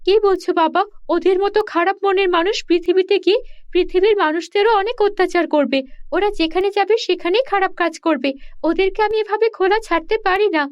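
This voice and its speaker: A woman's voice: very high voice, fairly even intonation, a little fast, dry recording, lo-fi sound, voice pushed up. A woman voicing a kind young fairy queen and mother in a cartoon, speaking in an earnest, emotional way. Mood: pleading. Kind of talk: Bengali cartoon dub.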